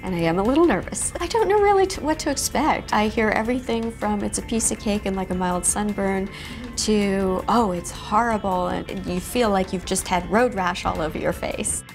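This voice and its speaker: A woman's voice: medium pitch, moderate pace, soft background music. A woman in her forties talking candidly and chattily about a treatment. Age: 40s. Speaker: client testimonial.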